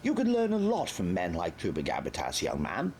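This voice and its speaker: A man's voice: deep voice, wildly swooping pitch, brisk, a little mellow, a little hiss or hum, voice pushed up. A man voicing a pompous, easily flustered army major in an audiobook. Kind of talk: audiobook character voice.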